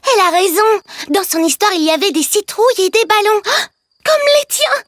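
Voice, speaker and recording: A woman voicing a sassy, chatty young schoolgirl in a French cartoon, cheeky and expressive. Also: very high voice, normal speed, no room sound, studio quality.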